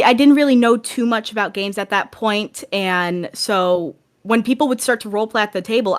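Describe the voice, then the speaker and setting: A woman talking casually as a podcast guest, animated and often restarting as she works out her thoughts. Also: lowish voice.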